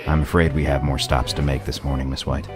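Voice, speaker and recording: A man playing a gruff, world-weary sheriff in an audio drama, gravelly and dryly deferential. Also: very deep voice, level tone, quick pace, music in the background. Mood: wry.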